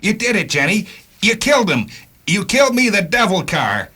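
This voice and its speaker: A man voicing a shaken, hard-drinking driver of a talking car in a radio story, halting and repeating himself. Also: high-pitched, sing-song, pushed and bright, slightly rough, voice pushed up, exaggerated voice. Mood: shocked, shouting. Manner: halting, stammering, pleading. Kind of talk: radio story character acting.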